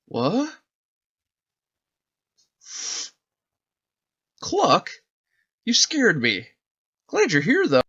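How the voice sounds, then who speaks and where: Man acting little boy, scared, trembling, whimpering exclamations, high swooping pitch, storybook reading. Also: huge pitch swings, takes it slowly, bright, forceful tone, slightly rough, muffled recording, exaggerated voice. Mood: startled, curious.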